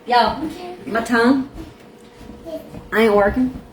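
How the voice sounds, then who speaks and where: A woman's voice: on the high side, steady tone, takes it slowly, background noise. A middle-aged woman with a strong accent chatting casually on a livestream, giving bread-baking tips and greeting viewers by name. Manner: says she has a strong accent.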